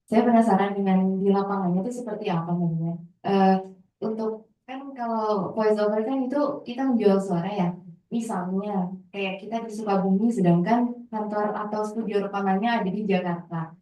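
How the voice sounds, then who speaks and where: A woman's voice: mid-range voice, steady tone, normal speed, highs cut off. A woman at a workshop asking the trainer polite, long and somewhat hesitant questions. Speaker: workshop participant. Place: library workshop room. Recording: roomy, distant sound.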